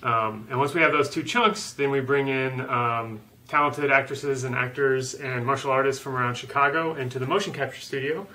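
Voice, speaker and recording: Bright-voiced man explaining casually. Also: medium pitch, moderate pace, hardly any pauses. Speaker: guest, game animator. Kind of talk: casual explanatory interview talk.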